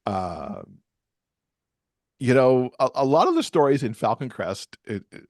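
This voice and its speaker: A man's voice: mid-range voice, big pitch swings, measured pace, a little mellow, no echo, clean studio sound. A man chatting casually as a podcast guest, talkative and easy to laugh. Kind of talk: casual conversational interview.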